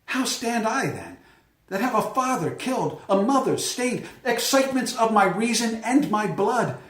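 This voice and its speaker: A man playing a brooding, self-reproaching young prince in an earnest, declamatory Shakespearean soliloquy. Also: high voice. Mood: anguished, vengeful. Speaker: amateur actor. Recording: echoey room.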